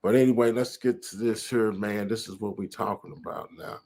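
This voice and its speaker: A man's voice: lowish voice, fairly even intonation, a little fast, a little mellow. A man hosting his channel, rambling through casual commentary on clips with lots of fillers. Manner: rambling, drawling, fillers like "man" and "you know". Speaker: channel host reacting to clips.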